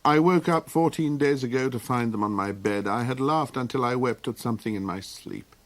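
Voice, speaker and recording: A man voicing a world-weary, tearless woman in her late thirties for a storybook, speaking in a slow, mournful way. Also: medium pitch, dry recording, close to an everyday voice. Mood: resigned.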